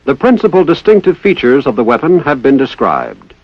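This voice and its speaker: A man's voice: mid-range voice, huge pitch swings, brisk, no room sound. A man with an American accent reading formal, methodical instructional narration in the style of a 1960s military training film. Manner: formal, precise, methodical enunciation. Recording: dull lo-fi 1960s film soundtrack.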